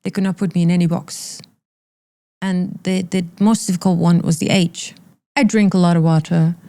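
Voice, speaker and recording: A woman's voice: fairly low pitch, fairly even intonation, normal speed, dark tone. A woman in her thirties, originally from Iran, speaking candidly and with emphatic repetition as an interview guest. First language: Persian.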